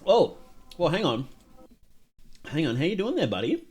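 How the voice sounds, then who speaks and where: A man's voice: on the high side, big pitch swings, moderate pace, a little mellow, soft background music. Rambling, self-mocking male streamer. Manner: rambling, self-mocking, laughs at his own jokes. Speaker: livestreamer reacting to videos. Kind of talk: casual live-stream commentary.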